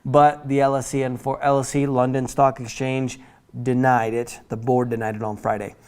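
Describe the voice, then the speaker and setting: Clear-voiced man running through finance news. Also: mid-range voice, level tone, normal speed, a little mellow. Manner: chatty, informal, stumbles over words and corrects himself. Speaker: host of a financial news roundup. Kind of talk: news rundown read from notes with casual asides.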